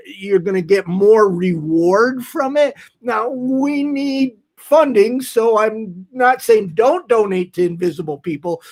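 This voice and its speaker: Male, late 50s, high-pitched voice. Earnest, emphatic livestream host, speaking candidly and off the cuff. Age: late 50s, nearly 60. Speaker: nonprofit founder hosting a livestream. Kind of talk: casual livestream talk to viewers.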